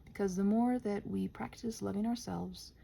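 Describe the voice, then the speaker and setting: A woman in a casual, improvised stream-of-consciousness ramble, halting and interrupting herself, often slipping toward a half-whisper, with a gentle encouraging manner. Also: mid-range voice, steady tone, vocal fry, slight background noise. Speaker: host of an improvised ramble audio for body doubling.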